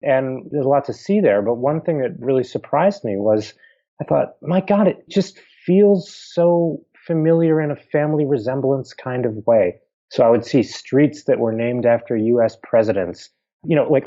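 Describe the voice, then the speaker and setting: Mellow-voiced historian with a Pennsylvania accent. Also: male voice, mid-range voice, lively intonation, moderate pace, highs cut off. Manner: thoughtful, explanatory, informal asides. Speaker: historian guest. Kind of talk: conversational interview answers.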